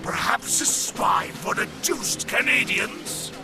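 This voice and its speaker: An actor voicing the pompous, blustering ghost of an old army general in an audio drama, speaking in archaic formal language with a gravelly creak. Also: male voice, very high-pitched, animated, slow pace, heavily acted. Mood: indignant, suspicious. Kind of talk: full-cast audio drama acting. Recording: loud music under the voice.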